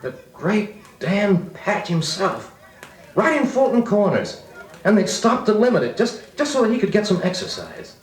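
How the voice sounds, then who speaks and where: A man's voice: high voice, sing-song, moderate pace. A man playing a grown-up recalling his farm boyhood, narrating in a folksy, nostalgic American voice like an old radio drama. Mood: building wonder. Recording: echoey vintage record with music.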